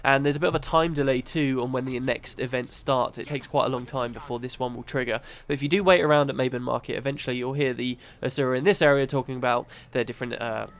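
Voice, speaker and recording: A man with a cold narrating a gameplay guide, explaining things step by step in a relaxed, chatty way. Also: medium pitch, fast, few pauses. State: has a cold, sniffly. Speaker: gaming channel host.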